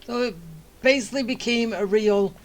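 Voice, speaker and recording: A man's voice: extremely high pitch, normal speed. A man giving casual, loose and reactive commentary over a game he is streaming. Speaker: gaming streamer. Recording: game music behind the voice.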